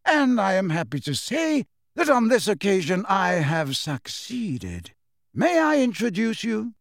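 A man's voice: high-pitched, huge pitch swings, unhurried, no room sound, clean studio sound, voice pushed up, heavily acted. A man voicing a very old wizard headmaster who speaks pleasantly, courteously and without hurry.

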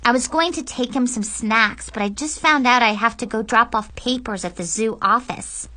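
Woman voicing an excitable, high-pitched teenage girl. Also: moderate pace, no echo. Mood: nervous coaxing. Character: excitable, awkward, eager-to-please young teenage girl.

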